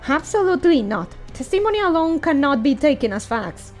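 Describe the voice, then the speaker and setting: A woman's voice: sits high, sing-song, a little fast, faint music behind. A woman reading a stern female fairy security chief from a fantasy game with formal, commanding delivery.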